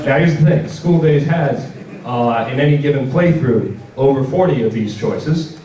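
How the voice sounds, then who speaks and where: A man's voice: mid-range voice, moderate pace, warm tone, a little hiss or hum. A man hosting a panel, giving an informal product presentation with a casual, wry, joking delivery. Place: large indoor convention panel room. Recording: roomy, distant mic, audible audience. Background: audience crowd.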